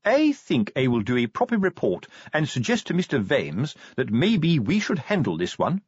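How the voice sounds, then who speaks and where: A male narrator plays a self-important police sergeant, middle-aged and none too bright. He explains things pompously, with a raised, very animated pitch. The sound is a little dull. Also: moderate pace, husky, dry recording, full character voice. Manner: pompous, explanatory, tag questions.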